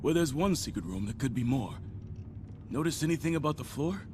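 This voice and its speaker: An actor playing a determined, gutsy police officer in a video game, with an American accent and brisk, clipped delivery. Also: male voice, mid-range voice, expressive, noisy background, lightly acted. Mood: focused. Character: determined, gutsy male police officer, Spider-Man's ally. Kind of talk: video game voice acting, natural dialogue.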